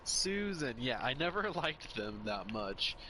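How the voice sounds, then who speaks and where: A man's voice: mid-range voice, sing-song, slow, sharp tone, slight background noise, low-quality recording. A young man in casual chat as a podcast co-host, rambling and opinionated, often restarting his sentences.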